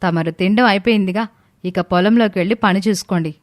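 A woman's voice: medium pitch, quick pace, dry recording, studio quality, lightly acted. A woman playing a jealous, scheming young wife in a family story, complaining and sarcastic. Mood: bitter, sulky. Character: jealous, bitter young married woman, scheming sister-in-law.

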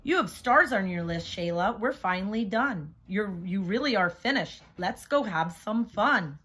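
A woman's voice: mid-range voice, lively intonation, measured pace, fairly bright tone, breathy edge. A woman voicing a firm but encouraging storybook mother who gives orders and coaxes. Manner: giving orders, coaxing. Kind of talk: picture-book character reading.

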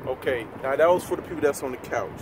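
A man's voice: mid-range voice, quick pace. A man giving an emphatic, slangy motivational talk as a vlog guest, preachy and fond of repeating himself. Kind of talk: motivational casual chat. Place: outdoors beside a parked semi truck. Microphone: standing back from handheld camera. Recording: very loud outdoor wind and traffic noise.

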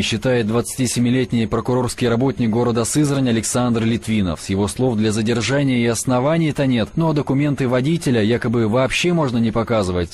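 A man narrating a scripted TV news report as the field reporter, measured and slightly ironic. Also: mid-range voice, darkish tone, slight background noise.

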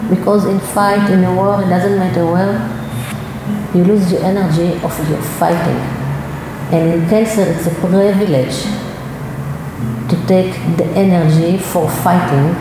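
A woman in her fifties from Israel, with a Hebrew accent, speaking slow and halting English in an interview in a yoga studio. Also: on the low side, fairly even intonation, background noise. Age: 50s. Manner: slow, halting non-native English with pauses. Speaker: yoga teacher. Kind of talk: personal interview. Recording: roomy hall echo with loud guitar music.

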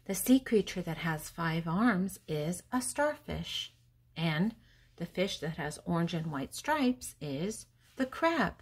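Slow, husky older woman reading to children. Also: lowish voice, very animated. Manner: deliberate, teacherly, pausing between words. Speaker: host reading a children's picture book aloud. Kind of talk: read-aloud for young children, with questions and answers.

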